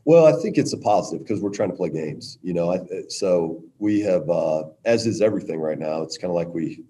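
A middle-aged man, a women's basketball coach, answering press questions in a halting way with many restarts. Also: low voice, lively intonation, dark tone.

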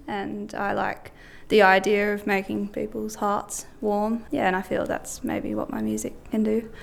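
A woman's voice: medium pitch, vocal fry. A young woman with an Australian accent talking casually and hesitantly about herself as a musician. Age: young adult. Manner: hesitant, informal. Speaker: musician interviewee. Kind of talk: casual reflective talk.

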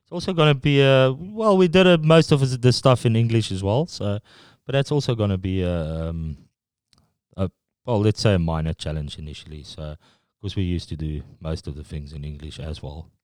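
A middle-aged South African man with an Afrikaans accent, a guest talking casually and haltingly in an interview, with many restarts. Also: sits low, a little mellow, no echo, clean studio sound. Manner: halting, full of restarts and fillers.

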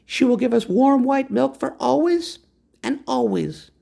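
A man reading a storybook, voicing a wise, proud cave woman in a high, lilting voice with a measured, declaring manner. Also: animated, gravelly, warm tone, heavily acted. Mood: lofty.

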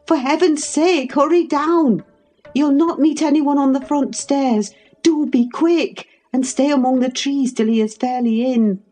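A woman's voice: high-pitched, measured pace, dark tone, faint music behind, voice pushed up. A woman voicing a blunt, anxious housekeeper with an urgent, exclamatory manner in a dramatized novel reading. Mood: urgent alarm.

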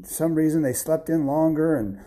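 A man's voice: a little high, moderate pace, dark tone, pitched above the performer's own voice, natural voice. A man voicing a busy, insistent wife and mother in a story, matter-of-fact and nagging. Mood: busy, apologetic.

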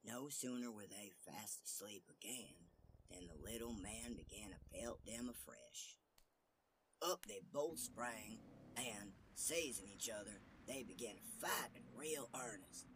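A man's voice: lowish voice, animated, a little slow, fairly bright tone, a little hiss or hum, low-quality recording. A man narrating a scripted fairy tale as the storyteller. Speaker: storyteller host.

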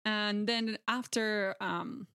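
A woman from Argentina, a translator guest, chatting in a relaxed interview. Her voice is smooth and clear at a middle pitch. She laughs easily and fills pauses with "um.". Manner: relaxed, laughs often, many fillers.

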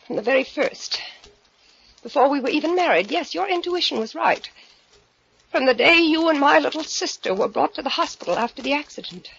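A high-voiced, cold and vengeful wife in a vintage radio thriller. She taunts with controlled, deliberate menace and a creaky edge. The old recording is muffled and noisy. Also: fairly bright tone, full character voice. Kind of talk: 1940s radio thriller acting.

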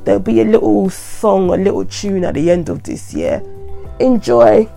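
Woman, croaky with a cold, mellow low-toned voice, repeats her warnings, soft music underneath. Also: huge pitch swings, normal speed. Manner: chatty, repetitive, earnest warnings. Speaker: vlogger. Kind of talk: casual advice monologue to camera.

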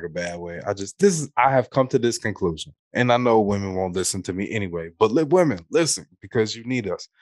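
American male podcast co-host, low register, dry close sound, opinionated and slangy. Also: dry recording. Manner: opinionated, colloquial, mildly profane. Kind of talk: casual unscripted chat.

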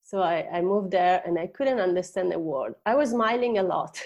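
Italian-accented woman, slightly low voice. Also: lively intonation, moderate pace, darkish tone. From Italy. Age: 40s. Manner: hesitant, searching for words, often laughing. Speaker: podcast guest, life coach. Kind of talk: casual personal storytelling in an interview.

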